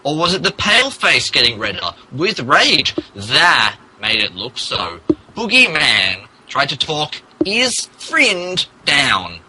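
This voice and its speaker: A man's voice: on the high side, wildly swooping pitch, slow, pushed and bright, slight background noise, low-quality recording. A man narrating a fan fiction story as a mock-dramatic podcast reading, amused, theatrical and emphatic.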